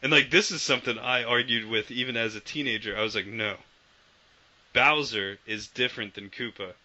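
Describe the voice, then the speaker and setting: A man co-hosting a casual video game podcast, animated and swearing freely as he acts out old quotes. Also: mid-range voice, a little fast. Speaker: podcast co-host. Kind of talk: casual chat about video games. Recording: dull lo-fi podcast audio.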